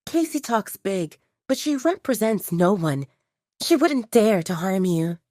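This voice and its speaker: A woman voicing a stern, protective female werewolf second-in-command in an audio drama, firm and sharp-tongued. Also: mid-range voice, sing-song, a little slow, no echo, studio quality. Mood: reassuring. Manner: firm, sarcastic.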